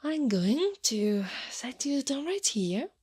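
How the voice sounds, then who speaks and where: Casting: woman to play huge horned Krampus female for ASMR monster roleplay; sly, commanding, breathy half-whisper, very close and dry. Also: high voice, big pitch swings, no room sound, heavily acted. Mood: softly threatening. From Italy. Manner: slow, soft, deliberate, teasing. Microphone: close microphone, dry.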